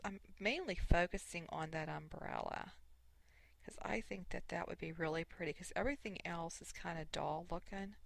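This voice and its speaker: A sniffly woman hosting a casual, unscripted craft software tutorial, thinking aloud in short, halting steps. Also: on the low side, creaky, dry recording. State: sniffly from allergies. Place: voice-over recorded indoors at a computer.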